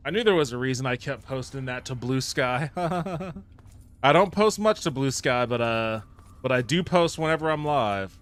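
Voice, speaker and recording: An upbeat male streamer at a middle pitch, talking casually while he plays. He greets and thanks viewers by name and swears casually. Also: expressive, normal speed, fairly bright tone, smooth. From Japan. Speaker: livestreamer. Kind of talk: casual live-stream gameplay chat.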